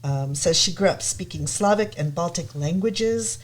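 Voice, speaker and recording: A low-pitched woman talks casually as a guest. She hesitates often with ums and restarts, but her enthusiasm comes through. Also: lively intonation, moderate pace. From United States. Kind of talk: casual chat.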